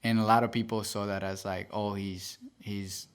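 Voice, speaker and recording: A man's voice: lowish voice, level tone, moderate pace, clean tone. A young man from El Salvador, a podcast guest, telling his story earnestly with frequent fillers and self-corrections. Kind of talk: casual interview storytelling.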